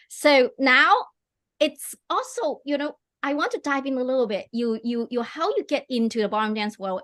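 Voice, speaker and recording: A woman's voice: mid-range voice, fairly bright tone. A middle-aged woman hosting a live online interview over a video call, chatty and enthusiastic, with many fillers and restarts. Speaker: host.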